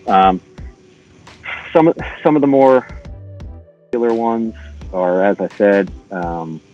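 A middle-aged man giving an informal, halting technical walkthrough as a podcast guest, step by step. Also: lowish voice, expressive, dull audio, faint music behind. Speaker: podcast guest, intercom engineer.

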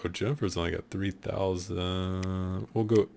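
Deep-voiced man streaming a game, laid back. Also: fairly even intonation, unhurried, vocal fry, a little mellow, a little hiss or hum. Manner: laid-back, joking, thinking aloud. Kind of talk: casual gameplay commentary. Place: home room at a desk.

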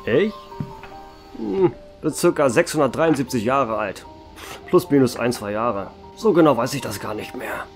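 Ein Mann spricht einen uralten Vampir-Wirt. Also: male voice, medium pitch, moderate pace, natural voice. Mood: calm. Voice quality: calm, dry, wry storytelling. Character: ancient male vampire barkeeper, calm and dry. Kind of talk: amateur horror audio drama. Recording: music behind the voice.

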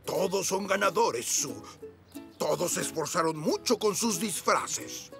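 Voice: mid-range voice, a little slow, gravelly, music in the background. An actor voicing a kindly, encouraging old grandfather zebra in a children's cartoon. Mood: reassuring. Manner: animated, warmly encouraging.

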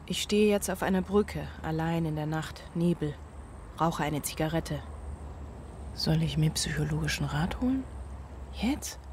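An actress playing a guilt-ridden single mother in a radio drama, speaking a poetic, deliberate inner monologue. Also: deep voice, darkish tone, slight background noise. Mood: desperate, guilty. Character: guilt-ridden single mother searching for her son at night. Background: music.

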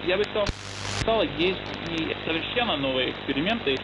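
Young male interview guest, conversational, higher pitch, muffled shortwave, strong hiss. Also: animated, a little fast. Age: young adult. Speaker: interview guest, literary translator.

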